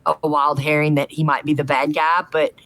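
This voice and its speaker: Low-voiced woman in casual podcast chat. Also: level tone, moderate pace, fairly bright tone, dry recording, low-quality recording. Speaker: podcast co-host. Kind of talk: casual chat, TV episode recap.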